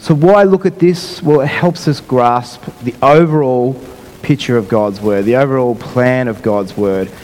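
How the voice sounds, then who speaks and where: A man preaching a sermon in an unhurried way, his voice croaky, often repeating and rephrasing himself. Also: mid-range voice, a little mellow, big-room sound, a little hiss or hum. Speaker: pastor.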